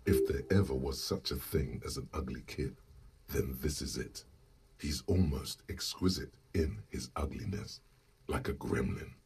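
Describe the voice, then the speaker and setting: An actor voicing a grave inner voice that narrates a detective's thoughts in a role-playing game, measured and literary. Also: male voice, a bass voice, creaky, a little mellow, breathy edge, full character voice. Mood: grimly fascinated. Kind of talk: scripted second-person narration.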